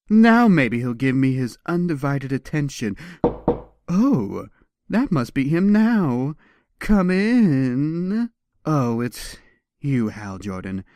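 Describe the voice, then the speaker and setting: A man's voice: medium pitch, dry recording, studio quality. A man voicing a hopeful, lovestruck young woman with a dreamy, romantic manner in a comic book performance. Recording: music behind the voice.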